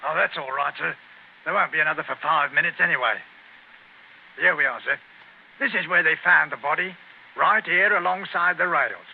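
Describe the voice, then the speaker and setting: A man's voice: on the high side, normal speed, creaky, long pauses. An actor playing an obliging, plain-spoken railway man in a 1930s radio drama, deferential and folksy. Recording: scratchy, tinny 1930s radio recording.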